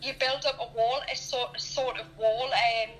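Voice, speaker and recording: English woman early 30s, bright highish voice, open honest chat, bit of music behind her. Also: fairly even intonation, normal speed, low-quality recording. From Newcastle, England. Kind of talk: candid personal chat.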